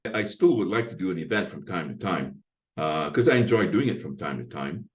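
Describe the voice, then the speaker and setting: A man in his sixties with a deep bass voice, talking at length as an interview guest and explaining his approach point by point. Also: animated, brisk. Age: 60s. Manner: talkative, explains with lists and asides. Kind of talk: casual interview talk.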